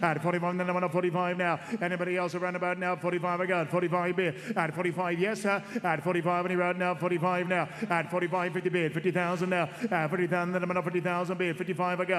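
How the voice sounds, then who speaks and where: A man's voice: high voice, level tone, fast, hardly any pauses, a bit bright. A man working as an auctioneer at a horse sale, calling bids in a rhythmic, repetitive chant and coaxing the room for more. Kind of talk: rapid bloodstock auction calling. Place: horse sales ring. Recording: long reverb of a sale ring.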